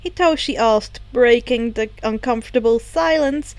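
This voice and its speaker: High-voiced woman reading a story. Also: normal speed, darkish tone, no echo. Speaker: fan fiction podfic reader. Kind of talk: expressive story narration.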